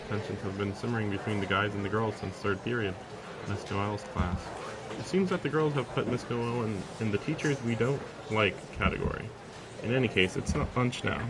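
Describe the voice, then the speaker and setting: A man's voice: on the low side, vocal fry, background noise. A man live streaming a visual novel, reading the text aloud and dropping in casual, sarcastic asides. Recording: music behind the voice. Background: game music.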